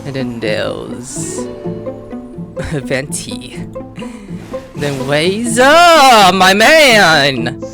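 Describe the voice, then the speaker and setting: A gaming host with an extremely animated voice that leaps from low mutters to high squeals. The delivery is slangy, exclamatory and playful, fairly unhurried overall, as casual gameplay commentary. Game music plays clearly behind the voice.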